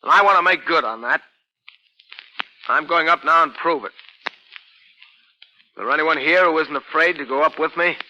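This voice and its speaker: Determined construction chief, declamatory and forceful, higher-set voice, vintage radio sound. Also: male voice, moderate pace, long pauses, muffled recording. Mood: pleading, determined. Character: earnest, harried bridge-building boss, proud and determined. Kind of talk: 1940s radio drama acting. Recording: old 1940s radio recording.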